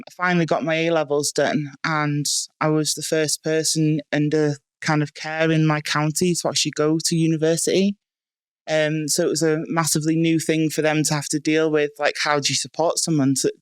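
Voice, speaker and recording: Young Welsh woman for a casual guest spot; smooth, level voice, plain and unhurried. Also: low-pitched, no room sound. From North Wales. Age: 20s. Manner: relaxed, matter-of-fact, frequent ums and likes. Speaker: guest, strongwoman athlete. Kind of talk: casual podcast interview. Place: small dry room in a house.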